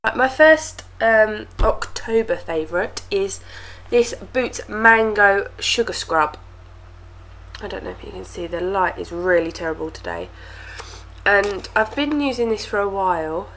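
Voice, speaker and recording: A woman's voice: medium pitch, unhurried, fairly bright tone. A young woman reviewing beauty products to camera, talking casually and sounding stuffy from a cold. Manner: chatty, with lots of fillers and repeated words. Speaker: beauty vlogger.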